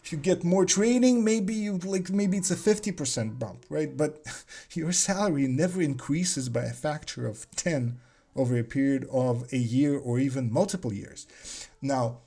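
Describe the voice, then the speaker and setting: A man's voice: a little high, moderate pace, warm tone. Reflective man. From Soviet Union (Leningrad). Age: 40s. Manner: thoughtful, repeats words while searching for phrasing. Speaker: business coach. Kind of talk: solo talk on business mindset.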